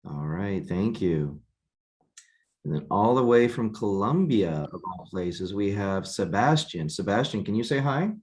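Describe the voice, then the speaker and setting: Low-voiced male call host, easygoing and halting. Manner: relaxed, halting, repeats words. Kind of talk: hosting a weekly video call. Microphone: video call.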